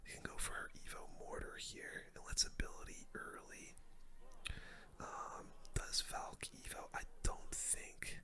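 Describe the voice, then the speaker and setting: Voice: a little slow, pushed and bright. A game streamer whispering casual, slangy commentary in an ASMR style. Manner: whispering throughout; casual, slangy gamer talk. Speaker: gaming video host.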